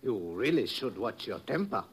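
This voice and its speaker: A man's voice: medium pitch, big pitch swings, moderate pace, a little hiss or hum. An actor playing a smug, scheming male politician in a 1950s radio drama, with a silky, mocking delivery. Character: smug scheming male minority party leader.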